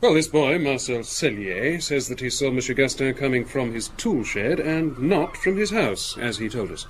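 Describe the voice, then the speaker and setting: An actor playing a deferential provincial police inspector in a radio drama, formal and a little hesitant. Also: male voice, medium pitch, animated, normal speed, a little hiss or hum, natural voice.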